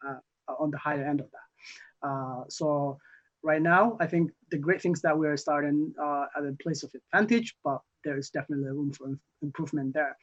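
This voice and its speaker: A young man from Somalia, a newly elected council member, answering interview questions haltingly with many fillers and restarts. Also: on the high side. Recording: muffled lo-fi audio.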